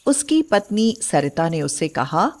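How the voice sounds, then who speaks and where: A woman's voice: fairly low pitch, very animated, measured pace, darkish tone. A woman narrating a scripted story as its storyteller.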